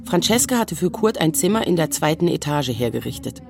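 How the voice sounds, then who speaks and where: A woman around forty, steady and dry, narrating and speaking in a radio drama. Her voice sits very low for a woman and stays matter-of-fact. Loud music runs behind her. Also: brisk, creaky, exaggerated voice. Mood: subdued, matter-of-fact. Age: 40s.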